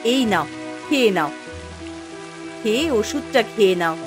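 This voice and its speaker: A woman's voice: mid-range voice, lively intonation, moderate pace, over music, close to an everyday voice. A woman voicing an anxious, caring village wife and mother in a cartoon, plaintive and fretful. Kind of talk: Bengali fairy-tale cartoon dubbing.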